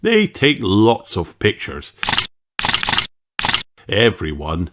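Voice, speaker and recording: A man reading a children's story aloud as narrator, in a measured, animated way. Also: lowish voice, very noisy.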